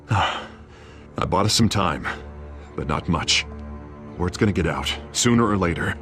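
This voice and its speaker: An exasperated, sighing city official. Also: male voice, low voice, expressive, a little slow. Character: frustrated male city official running for office. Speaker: politician in a game cutscene. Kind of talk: video game voice acting. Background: music.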